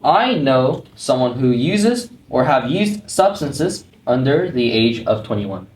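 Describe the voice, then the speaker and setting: A man reading survey statements aloud in a plain, even, scripted delivery without fillers. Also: medium pitch, takes it slowly. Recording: roomy echo, faint noise.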